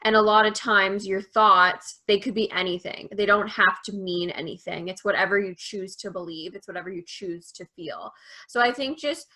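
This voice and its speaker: A young woman speaks as an interview guest, thinking out loud in a casual, reflective way and using "like" often. Her voice is bright and clear, with an average pitch. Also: normal speed. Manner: thoughtful, says "like" often.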